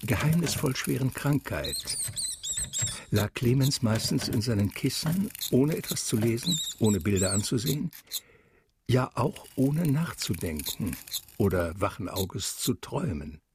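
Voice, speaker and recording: A man gives a deliberate, expressive literary narration for a radio drama. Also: medium pitch, a little hiss or hum.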